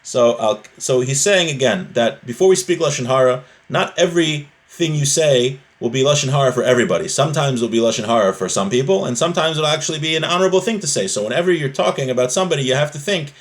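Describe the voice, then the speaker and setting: This is a man with a mid-pitched voice giving an informal religious lesson. He reads from a text and then explains it in a conversational way. He often goes back over key phrases to make the point plain. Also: expressive, fast, few pauses. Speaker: religious teacher, rabbi.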